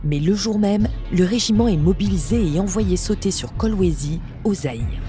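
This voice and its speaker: A woman's voice: medium pitch, expressive, moderate pace, mellow. A woman reading a scripted true-crime story as a podcast narrator. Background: music.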